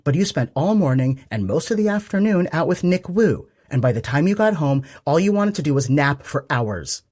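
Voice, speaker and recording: A prim, passive-aggressive mother, put on by a male narrator in a storybook reading. She speaks in a raised, fussy tone, her words carefully clipped and reproachful. The voice has a slightly husky grain. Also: on the high side, sing-song, normal speed, a little mellow, pitched above the performer's own voice.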